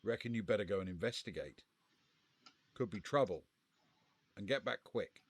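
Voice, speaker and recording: A man voicing a huge, gruff caravan merchant with a deep voice and a terse, commanding manner. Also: normal speed. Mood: gruff, wary. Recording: clearly noisy home recording.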